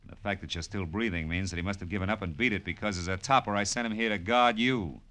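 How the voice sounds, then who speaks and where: An actor playing a tough, wisecracking American private detective in a classic radio drama, slangy and wry. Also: male voice, sits low, fast, pushed and bright. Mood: wry, hard. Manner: wry, slangy, hard-boiled delivery. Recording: old radio drama recording with music.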